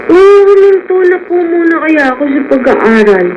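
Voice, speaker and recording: A woman's voice: extremely high pitch, very animated, normal speed, darkish tone. A Filipino actress in a radio drama playing a dutiful, selfless teenage schoolgirl, polite and meek. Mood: meek, concerned. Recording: dull radio audio with slight noise.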